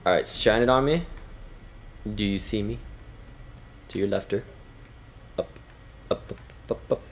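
A man's voice: fairly low pitch, measured pace, slight background noise. A man chatting and calling out moves to a friend while playing a co-op shooter. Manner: chatty, self-mocking, calls out moves. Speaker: co-op gamer. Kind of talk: casual banter while playing.

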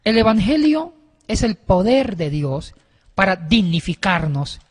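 A man's voice: high-pitched, very animated, measured pace. A middle-aged man on a panel explaining religious ideas didactically, often repeating and rephrasing himself mid-sentence. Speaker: panel guest explaining doctrine. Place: wood-panelled indoor studio or meeting room. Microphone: handheld microphone. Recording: very echoey room.

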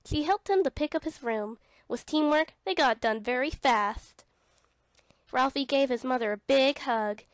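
A woman narrating a read-along children's storybook in an expressive, dramatic way. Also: high-pitched, unhurried, no room sound.

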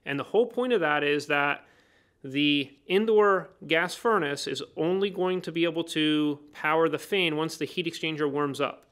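Man calmly explaining thermostat wiring. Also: a little high, moderate pace, smooth. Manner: plain, step-by-step explaining with frequent "so" and "now". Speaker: HVAC trainer. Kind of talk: hands-on technical demonstration. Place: indoor workbench.

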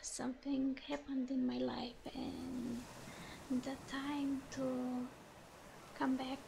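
A woman host whispering softly and breathily in a gentle, intimate, halting ASMR style, close to the microphone. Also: sits high, gravelly. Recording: steady ambient noise over the voice. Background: loud ambient wave sounds.

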